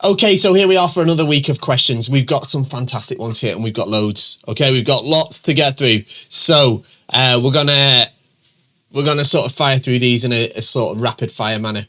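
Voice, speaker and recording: Middle-aged male host with a bunged-up, stuffy voice from a cold; bright, forward tone, casual and rambling. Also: medium pitch, fairly quick. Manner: chatty, many ums and restarts, reads questions aloud. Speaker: host answering viewer questions. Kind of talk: casual chat.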